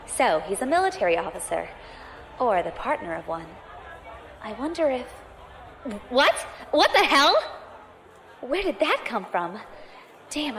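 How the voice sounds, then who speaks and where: An actress playing a brash young space pirate, quick, sarcastic and observant. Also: female voice, on the high side, a bit bright, slight background noise. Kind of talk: audio drama acting.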